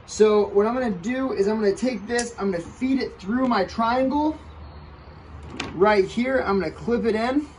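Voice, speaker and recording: A man's voice: high-pitched, slight background noise, rough recording. A man in his thirties giving a casual step-by-step how-to demonstration outdoors on a porch, talking while he works with his hands, picked up by a camera mic a few feet away. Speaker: kayak fishing channel host.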